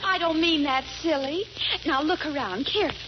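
A woman's voice: high voice, fairly quick, lightly acted. An actress playing a coy, lovestruck young girlfriend, coaxing and sweetly exasperated, in a 1940s radio comedy. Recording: muffled, faintly noisy 1940s radio recording.

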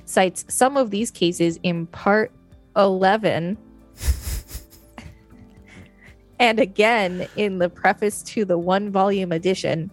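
A woman's voice: medium pitch, takes it slowly, pauses often, soft background music. A woman co-hosting a show, reading an encyclopedia article aloud as informative narration.

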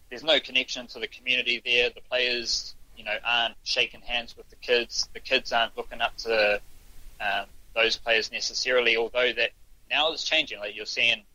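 A man's voice: mid-range voice, bright, forceful tone, no room sound, low-quality recording. A man, a sports journalist guest on a podcast, talking casually in short restarts. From New Zealand. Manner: thoughtful, talks in short restarts.

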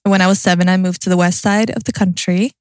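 A woman's voice: on the low side, steady tone, fast talker, no echo, clean studio sound. A young woman with a Norwegian accent, chatting as a podcast co-host in an informal, sweary, self-deprecating way. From Norway. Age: young adult. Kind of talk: casual podcast chat.

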